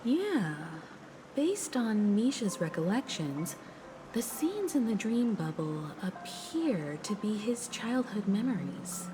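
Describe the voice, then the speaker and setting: A woman playing a composed, thoughtful, poetic woman in a video game story, speaking in a measured, reflective way. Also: medium pitch, sing-song, background noise.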